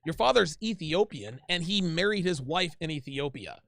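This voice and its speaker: A man with a fairly high voice hosts a call-in advice show in a loose, chatty way. He repeats words, restarts his sentences and keeps calling people "dude". The sound is close and dry, with no room around it. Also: expressive, moderate pace, gravelly, fairly bright tone, dry recording. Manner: casual, repeats himself, calls people "dude".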